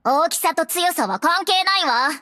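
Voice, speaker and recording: A woman's voice: extremely high pitch, lively intonation, normal speed, pushed and bright, exaggerated voice. A woman voicing a brave, earnest young half-elf girl who guards others, in resolute, anime-style video game hero lines. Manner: resolute, earnest, clearly projected delivery. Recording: studio voice over game music. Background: game music.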